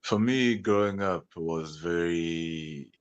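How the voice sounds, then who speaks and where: Very deep-voiced man, meandering. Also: slow, smooth, no room sound, highs cut off. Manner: meandering, hesitant, full of "like" and "you know". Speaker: musician guest. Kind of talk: casual interview talk.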